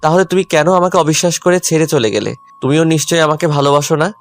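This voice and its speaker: A man's voice: fairly high pitch, fast, lightly acted. A man playing a hurt, angry, stubborn young husband in a dramatised audio love story. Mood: accusing. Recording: music under the voice.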